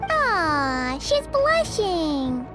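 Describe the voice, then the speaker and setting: A woman's voice: very high-pitched, big pitch swings, takes it slowly, exaggerated voice. A woman voicing a tiny, childlike fairy companion in an anime-style game, chirpy, loyal and given to startled exclamations. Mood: teasing. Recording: prominent music underneath.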